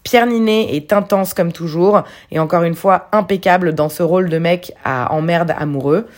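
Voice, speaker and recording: A woman's voice: lowish voice, moderate pace, a little mellow. A young woman, a native French speaker, giving casual, opinionated film reviews with occasional hesitations.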